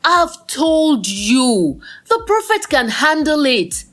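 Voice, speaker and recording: A woman's voice: high-pitched, big pitch swings, takes it slowly, a bit bright, full character voice. An actress with a Nigerian accent playing a bold, teasing, meddlesome young woman in an audio drama, brash and scolding in an emphatic way. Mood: insistent.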